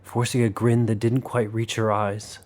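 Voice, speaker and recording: A man's voice: lowish voice, level tone, normal speed, darkish tone. A man narrating a scripted dramatic story as its narrator. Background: music under the voice.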